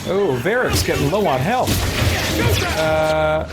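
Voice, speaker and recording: A man's voice: on the high side, lively intonation, slow pace. A man giving casual gameplay commentary as a let's play host, thinking aloud as he goes. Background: game music.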